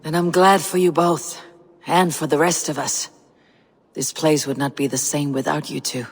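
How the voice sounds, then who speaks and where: Husky, higher-voiced Viking warrior. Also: male voice, level tone, normal speed, long reverb tail. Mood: calm, sincere. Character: seasoned Viking warrior leader, blunt and wary. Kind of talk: video game cutscene acting.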